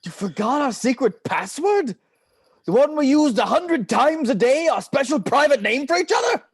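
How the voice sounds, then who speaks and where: A man's voice: very high voice, sing-song, normal speed, dry recording, full character voice. Man playing a flamboyant, melodramatic patriarch. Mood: urgent, demanding. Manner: theatrical, dramatic. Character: flamboyant, melodramatic cigar-smoking patriarch, passionate and impulsive. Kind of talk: table read of a comedy film script.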